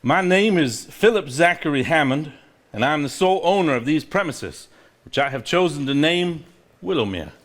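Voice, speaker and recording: A man acting in a live stage play as a sentimental reader of a grieving father's old diary, measured and formal. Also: on the high side, fairly bright tone. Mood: tender, proud. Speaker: stage actor.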